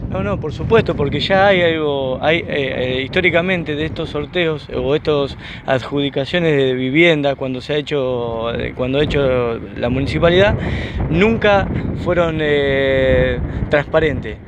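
A man in his thirties with an Argentine accent, a councillor answering in a measured way with many fillers, outdoors. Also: on the high side, lo-fi sound. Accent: Argentine Spanish. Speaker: city councillor. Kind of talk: outdoor political interview. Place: open field by a paved street, outdoors. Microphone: camera at close range. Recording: outdoor wind and traffic noise.

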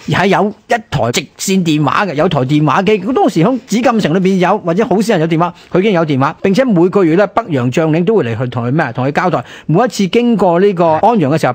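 A man with a Hong Kong Cantonese accent co-hosting a conversational radio history discussion, using rhetorical questions and emphatic agreement. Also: a little high. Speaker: history programme co-host.

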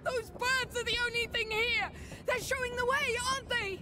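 A woman's voice: very high voice, steady tone, moderate pace, background noise. An actress playing a frightened but determined young woman in a video game, breathless and anguished as she searches for her brother. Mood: anguished, pleading. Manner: breathless, anguished, muttering to herself and calling out. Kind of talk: dramatic video game acting.

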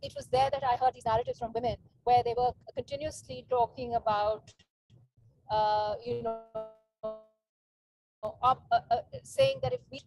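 A woman in her fifties from Pakistan joins a panel by phone from inside a car. Her voice is fairly high with a gravelly edge, and she speaks earnestly through frequent uhs. Faint music plays behind her on a weak call line. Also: a little mellow, poor audio. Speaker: panel guest, microfinance founder. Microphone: phone video call.

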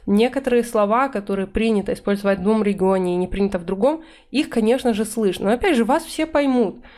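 A woman in her thirties giving an online vocabulary lesson, talking friendly and steady. She slows right down to read the example phrases aloud, then explains them at a natural pace. Her voice sits at an ordinary middle pitch for a woman. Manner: explains in Russian, reads English phrases slowly. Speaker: English teacher. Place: indoor room, webcam in corner of slides.

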